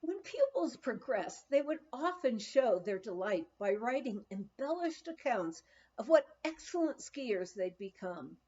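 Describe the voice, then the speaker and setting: A woman narrating a humorous memoir aloud, with expressive storytelling and deliberate phrasing. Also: a little high, lo-fi sound. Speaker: audiobook reader.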